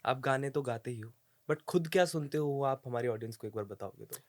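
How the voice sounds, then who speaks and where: A man's voice: mid-range voice, fairly quick, a little mellow, no room sound, clean studio sound. A man hosting a podcast, asking friendly questions and mixing English phrases into his talk. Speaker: podcast host. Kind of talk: interview questions.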